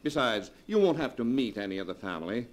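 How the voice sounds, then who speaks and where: A man's voice: mid-range voice, very animated, quick pace, close to an everyday voice. A man acting a suave, sardonic husband from a sinister family in a 1940s radio drama, theatrical and mockingly casual. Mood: sardonic, amused.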